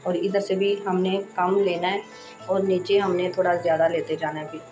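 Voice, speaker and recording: A woman hosting a sewing tutorial, speaking in a casual, reassuring way while she explains each step, with a hoarse voice from a sore throat. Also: on the low side, level tone, moderate pace, dull audio, music in the background. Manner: chatty and reassuring, frequent "accha ji".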